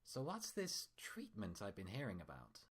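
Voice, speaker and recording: A man's voice: fairly low pitch, wildly swooping pitch, normal speed, husky, heavily acted. A man voicing a sullen, distrustful female pony patient with a grumbling, sarcastic manner in a story reading. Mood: guarded.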